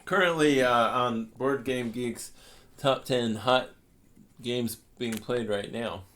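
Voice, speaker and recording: A man's voice: mid-range voice, slow, a bit bright. A man speaking as a co-host in an informal, conversational chat. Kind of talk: casual chat.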